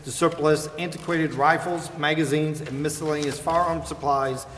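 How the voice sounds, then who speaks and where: A man's voice: fairly high pitch, steady tone, normal speed, fairly bright tone. An American man formally reading out agenda items as chair of a public meeting in a council chamber. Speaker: meeting chair, presiding official. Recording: echoey council chamber, clear background noise.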